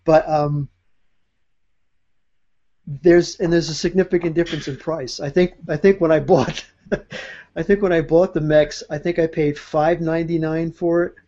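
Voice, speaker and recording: Mellow chatty man, lo-fi sound. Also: fairly high pitch, fairly even intonation, normal speed, pauses often. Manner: rambling, chatty, many fillers and restarts. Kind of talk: casual chat.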